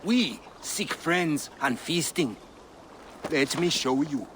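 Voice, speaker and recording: A man's voice: on the high side, expressive, takes it slowly, fairly bright tone, slight background noise. A man playing a genial, eloquent seafaring Viking raider in a video game, hearty and persuasive in manner. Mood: inviting.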